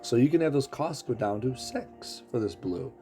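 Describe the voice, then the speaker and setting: A man casually explaining a game character's abilities, throat rough as he is losing his voice. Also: mid-range voice, animated, normal speed, dark tone, faint music behind. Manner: explanatory, walks through ability text. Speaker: game streamer.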